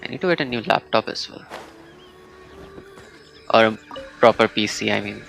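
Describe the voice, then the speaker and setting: A man's voice: lowish voice, normal speed, vocal fry, a bit bright, faint music behind. A man with an Indian accent chatting casually as he streams a game, slipping between English and Hindi. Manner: switches between English and Hindi mid-sentence. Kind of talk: casual livestream gaming chat.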